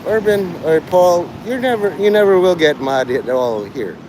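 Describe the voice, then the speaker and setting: Rambling man, clear traffic noise behind him. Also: sits high, animated, moderate pace. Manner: digressive, profane, blunt. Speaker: live streamer. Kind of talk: rambling casual monologue to listeners.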